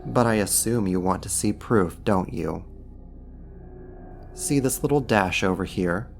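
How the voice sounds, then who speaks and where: A man voicing a powerful, smugly dominant archdemon in an ASMR roleplay, mocking and menacing with a theatrical, deliberate delivery. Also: fairly low pitch, creaky, soft background music. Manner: theatrical, taunting, slow and deliberate.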